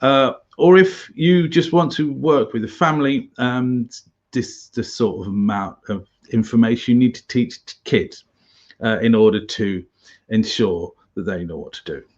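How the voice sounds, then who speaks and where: A British man giving an informal tutorial as an instructor, halting and chatty with wry asides. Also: mid-range voice, dull audio. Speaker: survival instructor, presenter.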